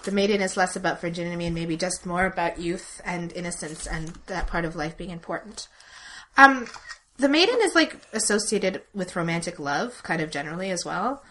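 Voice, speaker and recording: A woman's voice: on the low side, fairly quick, fairly bright tone, slight background noise. A woman co-hosting a podcast in casual chat, reading and discussing listener questions. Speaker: podcast host.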